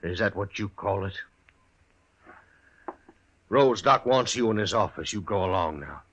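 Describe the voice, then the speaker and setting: An actor playing a vengeful, possessive frontier husband with grim, rustic speech in a western radio drama. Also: male voice, lowish voice, expressive, measured pace, a bit bright, highs cut off, slight background noise. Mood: possessive, menacing.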